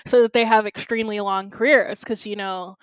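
A young woman co-hosting a podcast, chatting casually and giving upbeat shout-outs. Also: mid-range voice, fairly even intonation, fairly quick, clean tone, no echo. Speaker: podcast co-host. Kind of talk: casual chat.

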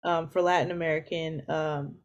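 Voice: mid-range voice, level tone, moderate pace, muffled recording. A guest talks casually and bluntly about a film. The tone is frank and direct, with wry throwaway lines. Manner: blunt and frank, dry asides. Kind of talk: casual conversation.

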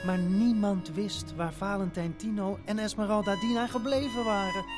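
A man narrating a family radio play as a theatrical storyteller, with dramatic pauses and hesitations. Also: sits high, fairly even intonation. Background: music.

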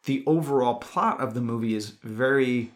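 A man's voice: mid-range voice, normal speed. A man co-hosting a podcast, talking casually and analytically about film and swearing freely. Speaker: podcast co-host. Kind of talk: casual film discussion chat.

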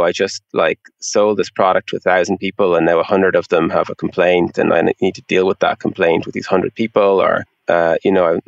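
A man's voice: low voice, gravelly, dry recording, highs cut off. A man being interviewed, explaining things in an informal, conversational way with a lot of filler phrases like "you know". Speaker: interview guest, tech product executive.

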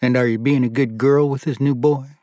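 A man voicing a gentle, fatherly older gentleman in an audiobook, measured and wry. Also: medium pitch, steady tone, dry recording, studio quality, close to an everyday voice. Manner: measured, kindly, wry, formal wording.

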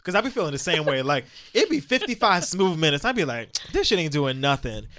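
A man chatting casually with friends, telling joking stories in an animated way. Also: fairly high pitch, fairly quick. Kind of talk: casual group chat.

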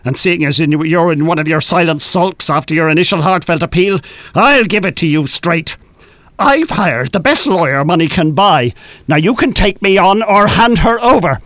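A controlling, abusive husband in a radio drama. His voice sits fairly high for a man, in a fairly dry sound. He taunts and bullies with sardonic, sneering menace. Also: animated, moderate pace, a bit bright, no room sound. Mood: cold, threatening. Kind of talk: live radio play acting.

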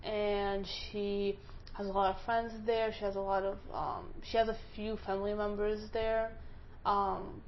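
A young college student from Russia, a native Russian speaker, answering interview questions casually with lots of "like" and quick self-corrections. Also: female voice, mid-range voice, flat delivery, dull audio, a little hiss or hum. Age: young adult.

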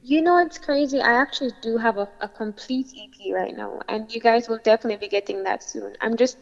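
A woman's voice: fairly high pitch, quick pace, creaky, big-room sound, muffled recording. A young Jamaican woman with a slight American influence, a singer talking casually and animatedly in an interview with many fillers and restarts. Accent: Jamaican, with some American influence. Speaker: dancehall singer, guest.

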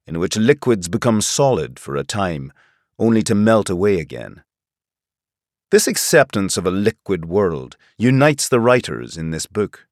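A male narrator reads literary nonfiction in a fairly low voice with lively, varied intonation. His delivery is measured and clearly scripted, in the manner of an audiobook. The sound is very dry, with no room echo.

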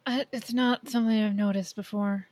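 A woman's voice: mid-range voice, steady tone, moderate pace, darkish tone, no echo. Blunt woman chatting, dry close sound. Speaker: podcast co-host. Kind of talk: casual film discussion.

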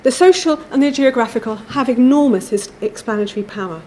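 A woman giving a measured, formal academic lecture from a prepared text. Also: fairly high pitch, animated, a little mellow, slight background noise. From England.

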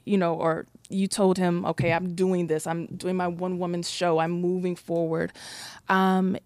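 A woman's voice: lowish voice, no room sound. A woman answering an interview question in a reflective, flowing way, with many fillers and restarts. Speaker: director of a one-woman show.

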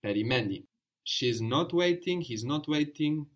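A man's voice: on the high side, animated, measured pace. A man teaching a language lesson, patiently prompting his student with questions and brief praise.

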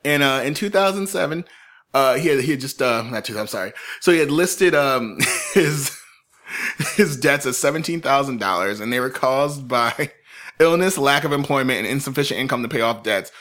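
Joking man with a bright voice. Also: mid-range voice, lively intonation, moderate pace. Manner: joking, laughs often, breaks into bits. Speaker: podcast host. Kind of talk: casual storytelling chat.

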